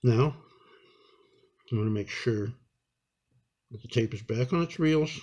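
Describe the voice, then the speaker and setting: A man giving an improvised hands-on tutorial, talking unhurriedly and haltingly through each step as he works. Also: mid-range voice, darkish tone, highs cut off. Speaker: instructor demonstrating a repair.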